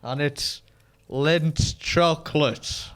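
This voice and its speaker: A man's voice: on the high side, drawn-out words, fairly bright tone. A man co-hosting a podcast, earnest and hesitant, stumbling over his words as he explains himself. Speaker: podcast co-host. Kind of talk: casual banter.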